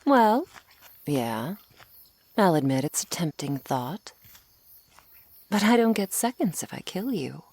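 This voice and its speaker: A woman playing a teasing, menacing century-old female vampire in an intimate ASMR roleplay, sardonic and unhurried, sometimes slipping toward a whisper. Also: on the low side, big pitch swings, a little mellow, husky, heavily acted. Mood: teasing menace.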